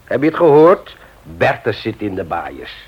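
A stern, moralizing Dutchman in a radio drama, lecturing with emphasis. His voice is husky and fairly low, with animated swings, on a dull vintage recording. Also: a little slow, full character voice. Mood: lecturing, firm. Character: stern, moralizing man confronting a petty thief. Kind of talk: Dutch radio play acting.

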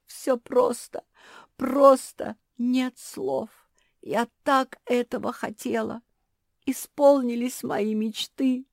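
Женщина играет печальную юную драконицу, высокий голос. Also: female voice, a little high, no room sound, clean studio sound, pitched above the performer's own voice. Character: gentle sorrowful young puppy turned into a dragon.

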